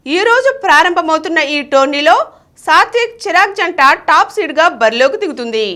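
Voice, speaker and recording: High, bright woman newsreader. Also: lively intonation, a little fast. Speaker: news reader. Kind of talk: scripted news bulletin narration.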